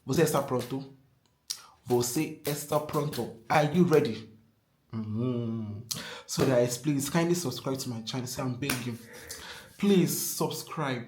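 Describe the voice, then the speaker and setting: An earnest Nigerian-accented man speaking straight to camera in a mid-pitched voice. He drives his points home by repeating them and pleads openly with his viewers. The sound bounces around a reverberant room. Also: unhurried, a little mellow, slight background noise. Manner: emphatic, repeats key phrases, earnest and pleading. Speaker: vlogger. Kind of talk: casual advice vlog to camera. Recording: echoey room.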